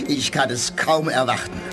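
A man's voice: medium pitch, lightly acted. An actor playing a blunt, impatient male spaceship crewman in a German radio play.

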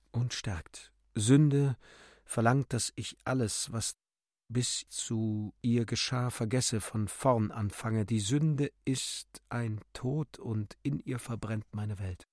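Slow, solemn male literary narrator. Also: mid-range voice, darkish tone, dry recording, studio quality. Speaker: narrator of a literary radio drama.

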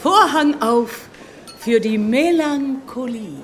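An actress in a radio play playing a gushing, vain society hostess and former opera singer, effusive and theatrical. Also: female voice, high voice, animated, slow, a little hiss or hum. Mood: gushing, inviting. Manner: effusive, theatrical, drops English words into German.

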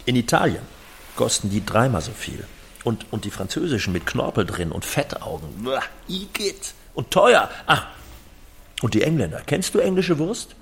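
An actor in a German audio drama playing an effusive, excitable businessman with big schemes. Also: mid-range voice, moderate pace, gravelly, slightly rough, exaggerated voice. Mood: gleeful, effusive. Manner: effusive, theatrical. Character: excitable, scheming male businessman friend held captive.

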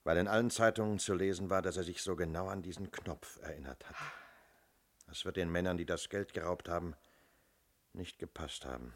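Husky, deep-voiced police inspector. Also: male voice, brisk. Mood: grave, measured. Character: sceptical, methodical male police inspector. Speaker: actor in a radio drama. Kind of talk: 1970s German radio play acting.

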